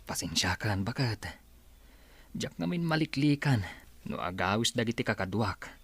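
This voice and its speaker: An actor playing an evasive, pleading husband with a Filipino Ilocano accent, in radio drama style. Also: male voice, fairly low pitch, expressive, moderate pace, fairly bright tone, breathy edge, dry recording, full character voice. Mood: coaxing. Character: pleading, evasive husband who goes out drinking.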